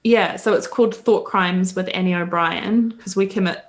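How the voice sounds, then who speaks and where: A woman's voice: on the low side, steady tone, moderate pace. A woman from New Zealand with a Kiwi accent, chatting as a guest on a video call, hesitant, with many ums and frequent laughs. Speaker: guest, podcaster. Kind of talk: casual interview chat.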